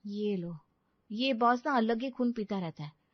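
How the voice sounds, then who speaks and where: High-voiced, complaining young daughter played by a woman. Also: unhurried, no room sound, lo-fi sound. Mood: grumbling. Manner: complaining, dramatic. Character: outspoken, cynical daughter, unmarried working woman in her twenties. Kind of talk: Hindi audio drama acting.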